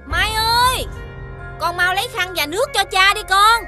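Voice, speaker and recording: A woman voicing a polite, caring village wife and mother in an animated fairy tale. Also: very high voice, moderate pace. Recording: music under the voice.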